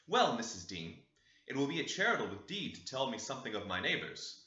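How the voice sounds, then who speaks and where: A man voicing a polite, inquisitive gentleman tenant in an audiobook, courteous and questioning. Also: medium pitch, very animated, fairly quick. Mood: polite, interested. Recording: roomy, echoey, slightly dull sound.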